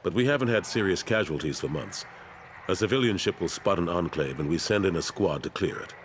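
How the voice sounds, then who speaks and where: Very deep-voiced older military statesman. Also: lively intonation, a little fast, a little hiss or hum. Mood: matter-of-fact. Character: gruff ageing military councilor, world-weary but steadfast man. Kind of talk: video game dialogue.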